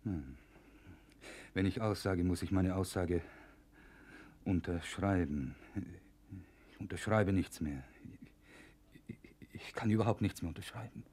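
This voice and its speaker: A scapegoated professor behind bars, middle-aged and worn into resignation. His voice is on the low side. He speaks in measured, halting phrases with bitter irony, in radio-play style. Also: a little mellow, breathy edge. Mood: anguished. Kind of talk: German radio play acting.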